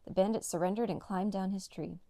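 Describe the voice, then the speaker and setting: A woman's voice: mid-range voice, fairly even intonation, brisk, warm tone, no echo. A woman narrating a children's read-along story as a storyteller.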